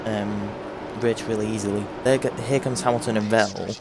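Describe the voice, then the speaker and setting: A hoarse young man giving a chatty, rambling play-by-play of a racing game. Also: on the low side, steady tone, a little fast, very noisy. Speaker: gaming commentator. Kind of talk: casual racing game commentary. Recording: loud game music underneath.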